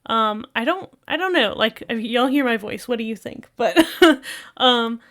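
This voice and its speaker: A woman's voice: fairly high pitch, lively intonation, measured pace, fairly bright tone. A woman talking casually while reacting to a video, rambling and full of fillers. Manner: chatty and rambling, many fillers. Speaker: video creator.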